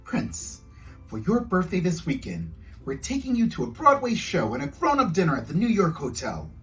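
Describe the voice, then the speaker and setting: A man's voice: high voice, wildly swooping pitch, normal speed, faint music behind, pitched above the performer's own voice. A man voicing a patient, proper mother who gives polite instruction in a storybook. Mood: announcing.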